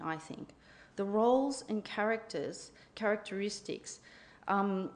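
A woman at a conference lectern, reading her paper in a formal, measured way. In the discussion that follows she turns effusive and grateful, pitched in a middle range. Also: darkish tone. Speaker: conference speaker. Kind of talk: reading a paper, then answering questions.

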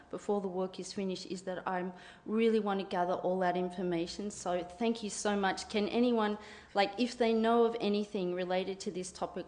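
A woman at a conference lectern, reading her paper in a formal, measured way. In the discussion that follows she turns effusive and grateful, pitched in a middle range. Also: a little mellow. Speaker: conference speaker. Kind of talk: reading a paper, then answering questions.

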